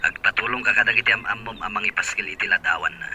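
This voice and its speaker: A man's voice: mid-range voice, steady tone, rapid-fire. An actor playing a practical, reassuring man in a radio drama, heard through a telephone filter. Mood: concerned, practical. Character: practical, reassuring adult man helping a search. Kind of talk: Ilocano radio drama acting. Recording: narrow phone sound, faint noise.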